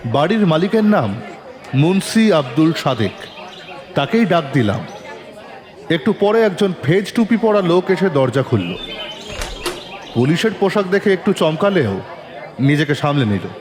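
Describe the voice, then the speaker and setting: Man acting a probing police inspector, very animated delivery. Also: a little high, measured pace, pauses often, some chatter behind. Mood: suspenseful, measured. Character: composed, probing male police inspector recounting his case. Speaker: narrator and lead actor in an audio story. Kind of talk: dramatic first-person detective story narration. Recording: long reverb, music underneath.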